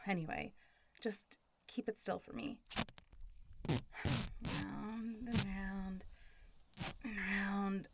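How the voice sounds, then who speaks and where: A woman voices a zombie girl who has been dead for centuries yet talks like a casual, friendly young woman. Her pitch sits in an ordinary middle range for a woman. She is playful and teasing, chatting intimately as in a roleplay audio drama. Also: fairly even intonation, extremely slow, pauses often, very noisy. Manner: casual, playful, teasing, often laughing and sighing.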